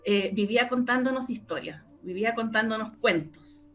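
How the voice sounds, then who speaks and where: A woman's voice: a little high, normal speed. A middle-aged woman with a Chilean accent from the O'Higgins region, reminiscing casually as an interview guest, often repeating her words as she tells stories.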